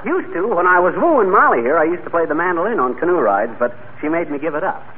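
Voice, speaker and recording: Boastful, high-pitched wisecracking husband. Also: male voice, lively intonation, fast talker, slightly rough. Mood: breezy bragging. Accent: American. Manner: bragging, tongue-twisting patter. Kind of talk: 1940s radio comedy acting. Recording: tinny old radio recording, hissy.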